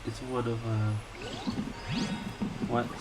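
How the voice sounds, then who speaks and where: A man comments casually as he plays a game, at a slow, halting pace with mumbled short reactions. His home microphone is very noisy. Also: mid-range voice, level tone. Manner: halting, mumbled reactions, reads screen text aloud. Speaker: gaming video maker playing along.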